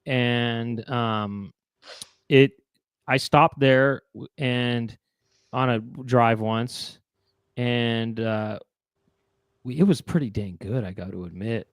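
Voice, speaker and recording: A man's voice: mid-range voice, slow, long pauses, clean tone, no room sound. A man co-hosting a casual chat show, joking and rambling with lots of restarts. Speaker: co-host.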